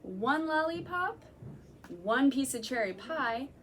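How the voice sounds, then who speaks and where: A young woman, a children's librarian, reading a picture book aloud to children in a gentle, slow, sing-song way and counting along. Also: high-pitched, lively intonation, a little hiss or hum. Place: library room.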